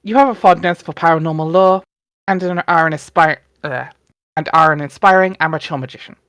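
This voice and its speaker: Livestream host for a dramatic reading, medium pitch, informal, recorded close and dry. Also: brisk, fairly bright tone, smooth, no echo. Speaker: stream host and reader. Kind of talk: dramatic reading mixed with casual livestream chat.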